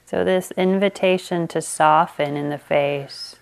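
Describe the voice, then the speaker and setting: A woman meditation teacher leading a guided meditation, speaking gently with long pauses. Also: low voice, fairly even intonation, gravelly, clear, resonant tone.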